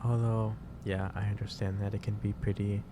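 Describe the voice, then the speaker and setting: Male, low-pitched, gentle boss roleplay in ASMR style, halting advisory delivery, over clearly noisy ambience. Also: level tone. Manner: hesitant, halting, pausing mid-sentence, gently advising. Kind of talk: soft ASMR roleplay, improvised monologue to the listener.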